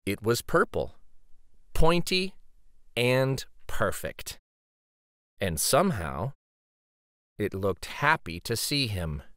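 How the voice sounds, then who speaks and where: A man reading a children's picture book aloud as dramatic, suspenseful narration, with theatrical pauses and emphasis. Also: fairly low pitch, sing-song, sharp tone, no room sound, studio quality.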